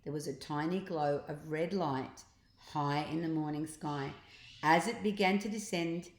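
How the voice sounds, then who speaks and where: An older woman narrates a children's storybook for grandchildren. Her voice sits a little low, and she leans on words with drawn-out, expressive emphasis. The recording is noticeably roomy. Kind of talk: storybook narration read aloud.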